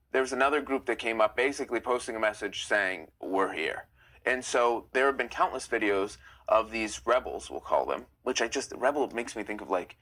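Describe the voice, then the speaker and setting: A young man hosting a live stream, chatting casually and reading viewer comments, opinionated and quick to get heated. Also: sharp tone. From United States. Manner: chatty, opinionated, gets heated and rises in pitch.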